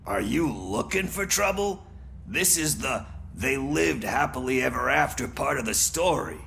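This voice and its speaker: An actor playing a sarcastic, chatty small-time crook and informant in a video game. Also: male voice, medium pitch, animated, unhurried, gravelly, a bit bright, slight background noise. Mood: wary, exasperated.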